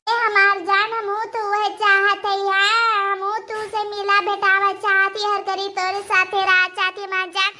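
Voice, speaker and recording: A woman's voice: very high-pitched, little pitch movement, a little slow, hardly any pauses, bright, forceful tone, full character voice. A woman voicing a clingy, lovesick young woman in an amateur cartoon, pleading with endearments in an Awadhi accent. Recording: echoey room with faint noise.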